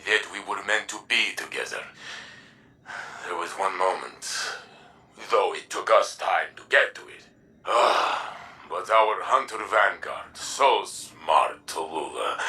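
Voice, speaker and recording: A man's voice: low-pitched, exaggerated voice. A man playing a sentimental warrior in love in a video game, nostalgic and tender, slightly distant over music. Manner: slow, warmly nostalgic, halting syntax. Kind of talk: video game character dialogue.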